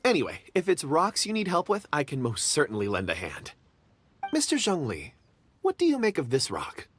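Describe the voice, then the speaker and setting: A man's voice: on the high side, huge pitch swings, moderate pace, a bit bright, slight background noise. A man voicing an absent-minded rock enthusiast in a video game, polite, wordy and slightly old-fashioned.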